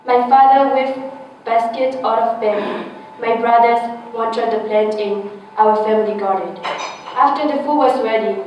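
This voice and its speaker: A teenage girl born in Thailand reads her personal essay aloud with careful, halting phrasing and small restarts, recorded from a distant mic in an echoey room. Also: on the high side, muffled recording, a little hiss or hum. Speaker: essay contest winner.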